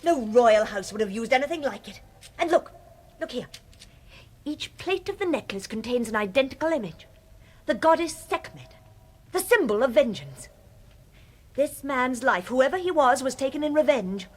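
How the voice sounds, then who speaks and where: Husky-voiced, defiant woman. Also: high-pitched, lively intonation, normal speed, pauses often. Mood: insistent, scornful. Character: defiant, outraged archaeologist's daughter, scornful of treasure thieves. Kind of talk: radio drama acting.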